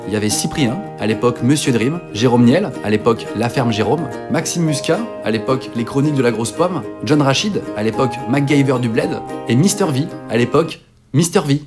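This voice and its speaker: A man's voice: mid-range voice, a little fast. A young man in his twenties from northern France, telling his life story as a jokey, self-mocking voice-over. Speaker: video creator. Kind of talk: comic autobiographical voice-over. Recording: voice-over with music behind.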